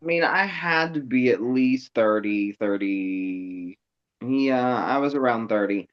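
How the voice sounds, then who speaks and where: A man in his thirties telling stories in casual chat, animated and laughing often, acting out other people's lines. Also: medium pitch, slow pace, lo-fi sound. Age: 30s. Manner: animated, laughs often, mimics voices in quotes.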